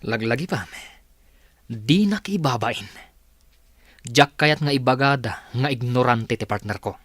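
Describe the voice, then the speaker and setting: A man's voice: medium pitch, animated, a little slow, a bit bright, dry recording, studio quality. A man playing a proud, bossy businessman in an Ilocano radio drama, speaking in a stern, condescending way. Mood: stern, demanding.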